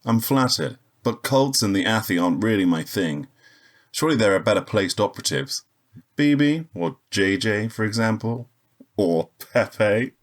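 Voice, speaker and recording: A deep-voiced male secret agent of the spaceways, played by an actor, cocky and world-weary. He speaks with deadpan, sardonic wit, like the lone hero of a noir-tinged sci-fi radio drama. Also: moderate pace, natural voice. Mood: indignant. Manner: wry, deadpan. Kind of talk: noir-style narration and dialogue in sci-fi audio drama.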